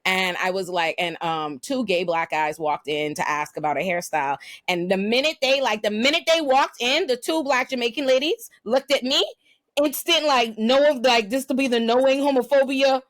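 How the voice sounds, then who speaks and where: A woman's voice: fairly high pitch, dry recording. A woman from the Bahamas, hosting a casual panel discussion and telling stories with lots of fillers. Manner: fast, animated storytelling with many fillers. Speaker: podcast host.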